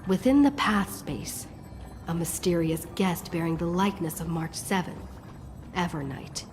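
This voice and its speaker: A woman voicing a solemn heroine who recounts a fantasy quest in measured, scripted video game narration. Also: fairly low pitch, close to an everyday voice. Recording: long reverb under game music. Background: game music.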